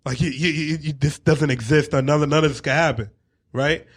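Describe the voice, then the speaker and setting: A young man, chatting casually on radio in a slangy, expressive, emphatic way. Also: a little high, a little fast. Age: young adult.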